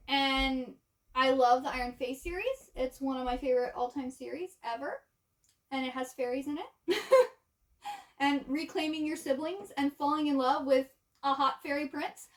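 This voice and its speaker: A woman chatting casually and informally, like a book vlogger talking to viewers, with asides and trailing thoughts. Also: high-pitched. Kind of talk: casual chat to camera.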